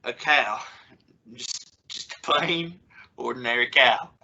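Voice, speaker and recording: A woman's voice: extremely low pitch, takes it slowly, vocal fry, sharp tone, lo-fi sound, exaggerated voice. A young woman playing an exasperated, stammering father trying to sound reasonable in an old-time radio family comedy. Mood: nervously reassuring. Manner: stammering, sputtering. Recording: noisy recording with clicks.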